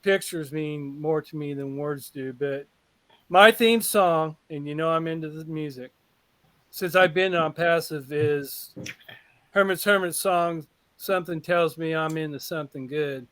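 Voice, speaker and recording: A man's voice: a little high, measured pace, a bit bright, no echo. Dry, rambling enthusiastic man on a call. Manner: rambling, enthusiastic, restarts often. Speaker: call participant. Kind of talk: casual testimonial on a group call.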